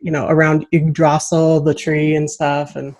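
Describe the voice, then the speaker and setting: A woman's voice: low voice, completely flat delivery, normal speed, darkish tone. A woman hosting a casual podcast interview in an enthusiastic, effusive way. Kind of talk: casual friendly video interview. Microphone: USB desktop microphone.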